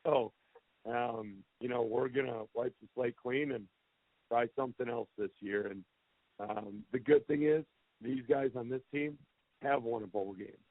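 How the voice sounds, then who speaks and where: A man coaching a football team talks candidly and plainly, with some self-deprecating honesty. His voice sits at a middle pitch and comes through a thin, tinny telephone line with no room sound at all. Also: measured pace, no room sound. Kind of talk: answering questions on a press conference call. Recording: tinny phone line, dry.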